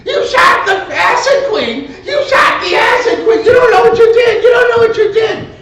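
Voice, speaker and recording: Casting: man playing scrawny weaselly dealer, high shrill hysterical screams, live storytelling, heavy room echo. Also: lively intonation, normal speed, fairly bright tone, raised voice, a faint crowd, full character voice. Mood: hysterical screaming. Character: short skinny weaselly drug dealer, panicked and shrieking. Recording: very echoey room, distant muffled mic, rumble.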